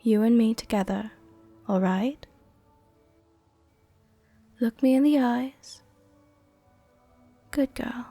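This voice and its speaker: A woman playing a worldly, softly dominant vampire countess in an intimate roleplay ASMR, with a soft, gentle, tenderly commanding way of speaking. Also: fairly high pitch, slow, pauses often, faint music behind. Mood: tender, comforting.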